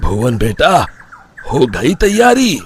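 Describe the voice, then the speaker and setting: Man playing cartoon villager, pushed-up pitch, anxious, excitable, slow pace. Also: slight background noise. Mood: concerned. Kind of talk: Hindi cartoon voice acting.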